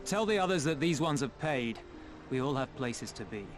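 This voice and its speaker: An actor playing a sardonic, pragmatic pirate in a video game cutscene, explaining things in a wry manner. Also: male voice, mid-range voice, animated, moderate pace, over music, natural voice. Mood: matter-of-fact.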